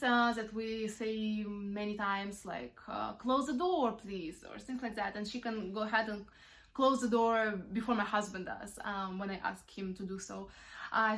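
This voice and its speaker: A woman's voice: fairly high pitch, fairly bright tone. A young woman from Ukraine, a native Russian speaker, chatting casually as a vlogger and mother, answering questions with lots of ums and uhs. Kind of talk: casual Q&A while wrapping gifts.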